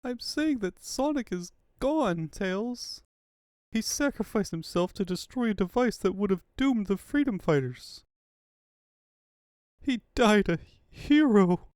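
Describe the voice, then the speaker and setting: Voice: mid-range voice, animated, slow, darkish tone, no room sound, studio quality. A performer voicing a grave, dutiful young female leader with a solemn, emotional manner in a cartoon comic dub. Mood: grieving.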